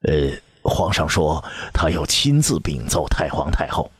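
A man's voice: a bass voice, sing-song, a little slow, husky, no room sound, studio quality, heavily acted. A man voicing a hesitant male court minister who hedges his answers. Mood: evasive. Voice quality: hesitant, hedging.

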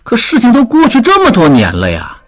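A woman's voice: a little high, wildly swooping pitch, fairly quick, no echo. A woman voicing a disbelieving young man asking questions. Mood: doubtful. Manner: doubtful questioning.

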